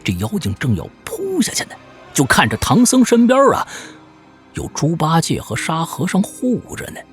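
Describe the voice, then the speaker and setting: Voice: mid-range voice, wildly swooping pitch, moderate pace, soft background music. A storyteller giving dramatic storybook narration in a folksy, traditional tale-telling manner.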